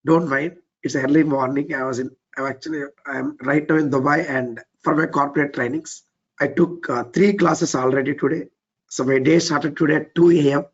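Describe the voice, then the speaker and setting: Man, Indian accent, fairly high voice, worn and weak, repetitive teacher, lo-fi sound. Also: fairly even intonation, normal speed, breathy edge. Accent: Indian English. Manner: repetitive, keeps saying "let's get started, step by step". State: voice a bit weak and low from overuse. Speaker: trainer. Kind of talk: live online technical class.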